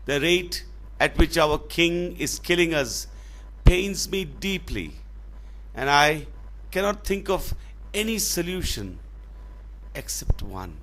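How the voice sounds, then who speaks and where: A man voicing a wise old male owl in a storybook, pained and solemn, pleading slowly. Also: fairly high pitch, very animated, fairly bright tone, voice pushed up, exaggerated voice.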